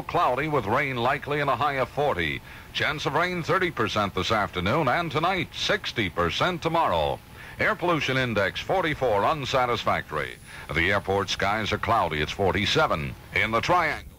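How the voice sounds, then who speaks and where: Bright, animated American radio newsman. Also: medium pitch, moderate pace. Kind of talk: 1970s radio weather report. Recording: noisy old radio aircheck tape.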